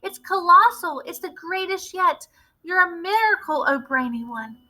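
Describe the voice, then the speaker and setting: A woman voicing an excitable witch follower in a children's story, exclaiming fawning praise. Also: very high-pitched, normal speed, pitched above the performer's own voice, exaggerated voice. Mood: ecstatic.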